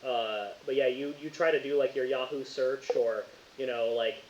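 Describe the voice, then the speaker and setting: A man running a tabletop game as game master, narrating casually and haltingly with lots of filler words. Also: medium pitch, fairly even intonation. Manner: halting, informal, frequent "like". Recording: echoey room, muffled, faint hiss.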